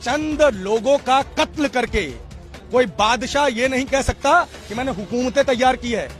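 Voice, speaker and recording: A man's voice: extremely high pitch, moderate pace. A man from Rajasthan, arguing in an impassioned street debate, lecturing and repeating his questions insistently. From Rajasthan, India. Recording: music behind the voice. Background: music.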